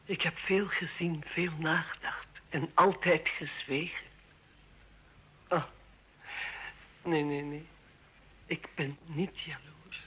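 Noblewoman wife, proud and bitter. Husky, theatrical reproach, muffled old radio play sound. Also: low voice, fairly even intonation, slow pace, long pauses, full character voice. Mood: bitter, reproachful. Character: proud, bitter, lonely noblewoman wife pleading with her husband. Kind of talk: old Dutch radio drama acting.

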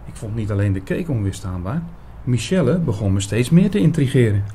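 A man's voice: medium pitch, big pitch swings, brisk, warm tone, a little hiss or hum. A man playing an attentive investigator who narrates his own story in a scripted radio drama. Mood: measured, reflective. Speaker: narrator and lead actor in an audio drama.

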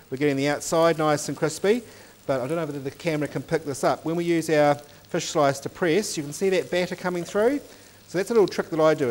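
A man, a cooking teacher explaining each step as he cooks in a teaching kitchen. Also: a little high. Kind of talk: cooking demonstration. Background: oil sizzling in a pan.

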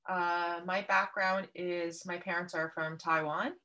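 Low-voiced woman teaching a craft workshop. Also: flat delivery, a little slow, pushed and bright. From Canada. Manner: friendly, patient step-by-step explaining. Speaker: art workshop instructor. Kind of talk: online craft workshop instruction.

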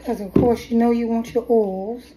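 A woman's voice: a little high, steady tone, normal speed, heavy background noise. Chatty woman with a head cold. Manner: rambling and chatty, with restarts. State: has a head cold, coughing. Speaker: hair vlogger. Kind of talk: casual DIY tutorial. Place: kitchen table at home, indoors. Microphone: phone camera at table.

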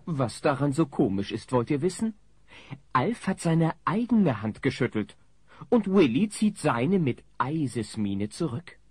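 A man's voice: mid-range voice, very animated, a little slow, a little mellow, breathy edge, no echo, clean studio sound. A man playing the wry, dramatic narrator of a comedy audio play. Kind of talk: scripted narration.

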